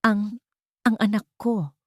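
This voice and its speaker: A woman's voice: mid-range voice, steady tone, takes it slowly, a little mellow, no room sound, clean studio sound, voice pushed up. A woman voicing a wronged, grieving young mother bent on revenge in an audio drama. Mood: weak, shocked. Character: wronged, grieving young mother back from supposed death, vengeful.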